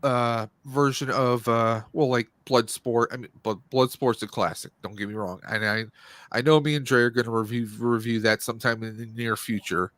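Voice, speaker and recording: A man co-hosting a live stream, giving casual movie commentary in a rambling, halting way as he searches for words. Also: mid-range voice, steady tone, fairly bright tone, no echo.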